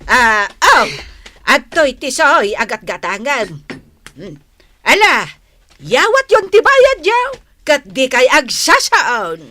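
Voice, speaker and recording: A woman's voice: high-pitched, big pitch swings, takes it slowly, bright, forceful tone, exaggerated voice. Fussy, drawling older storekeeper woman. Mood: businesslike. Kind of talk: Ilocano radio comedy drama acting.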